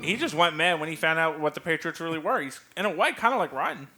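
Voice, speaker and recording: Forceful, sarcastic male gaming commentator. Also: fairly high pitch, lively intonation, fast talker. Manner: sarcastic, profane. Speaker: let's play host playing the game.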